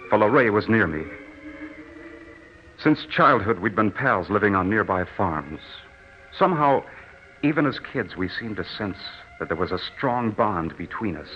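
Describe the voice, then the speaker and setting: Young soldier man acting, deep voice, solemn n heartfelt, vintage radio play, muffled with lots of noise. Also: natural voice. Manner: solemn, sincere, dramatic. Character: earnest young soldier, devoted and full of faith. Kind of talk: 1940s radio drama acting, narration and dialogue. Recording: muffled, noisy old radio recording.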